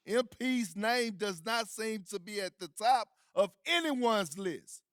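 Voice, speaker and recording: A man's voice: high-pitched, expressive, takes it slowly, fairly bright tone, dry recording, clean studio sound, exaggerated voice. A man playing an opinionated, scornful sports TV pundit in a video game, speaking emphatically and dismissively. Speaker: sports debate show pundit.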